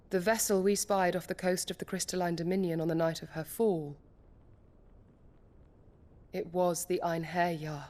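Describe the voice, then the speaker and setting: An actress playing a devoted, disciplined female knight in a fantasy game, formal, deferential and measured. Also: lowish voice, a little mellow. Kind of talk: dramatic fantasy game acting.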